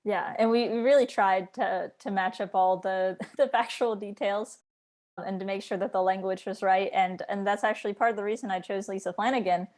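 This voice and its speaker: Chatty woman interviewer, mid-pitched. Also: fairly even intonation, moderate pace, a little mellow. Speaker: interviewer, book editor. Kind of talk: casual interview chat.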